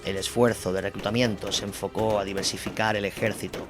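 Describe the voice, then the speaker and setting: A man's voice: fairly low pitch, little pitch movement, fairly quick. A man from reading a scripted documentary war narration as the narrator of an audio drama. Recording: music bed under the voice.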